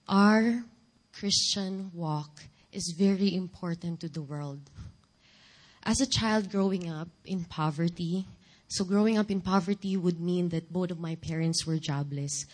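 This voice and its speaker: Lower-pitched Filipino woman preaching. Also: unhurried. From Philippines. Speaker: guest speaker giving testimony. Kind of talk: church sermon and personal testimony.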